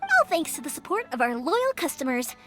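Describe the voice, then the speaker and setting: An actress voicing a cheerful, energetic young woman who runs a family fireworks business. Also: high-pitched, fairly quick, faint music behind, full character voice. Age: young adult. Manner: bright, energetic and friendly.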